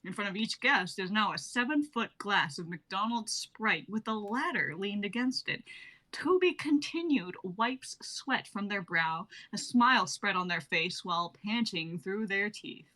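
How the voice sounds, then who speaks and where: A woman's voice: mid-range voice, lively intonation, a little slow, bright, forceful tone, poor audio. A young woman reading stage directions as the narrator of a scripted comedy sketch. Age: young adult.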